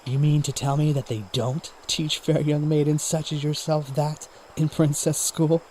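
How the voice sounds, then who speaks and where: Young knight , male actor, gallant and teasing, soft but firm mellow voice, fantasy audiodrama, faint noise behind. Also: fairly high pitch, flat delivery, normal speed, lightly acted. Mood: smug, teasing.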